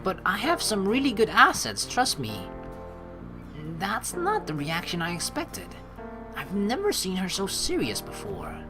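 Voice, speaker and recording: A woman's voice: mid-range voice, huge pitch swings, unhurried. A woman doing casual let's play commentary, dramatic and sweary, reading game text aloud and improvising replies. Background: game music.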